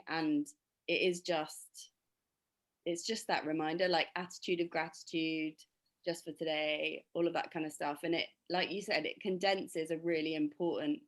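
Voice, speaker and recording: A young woman co-hosting a podcast, slightly husky from a cold, chatting casually and upbeat. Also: sits low. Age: young adult. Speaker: podcast co-host.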